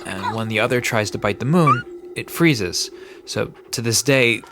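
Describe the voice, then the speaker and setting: A man's voice: fairly low pitch, animated, a little slow, over loud music. A man playing a laid-back, bookish park resident in an audio drama, casually explaining myths with a dry manner. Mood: storytelling. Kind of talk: conversational audio drama storytelling.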